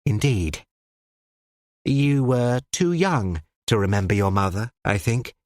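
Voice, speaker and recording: A reader voicing a cool, sardonic elderly male judge who speaks in precise, measured phrases. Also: mid-range voice, a little mellow, dry recording, studio quality, natural voice.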